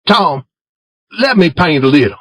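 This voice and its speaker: A man's voice: a little high, lively intonation, unhurried, a bit bright, dry recording, voice pushed up. A man voicing an eager young boy who teases and then begs his friend in a storybook reading. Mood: pleading.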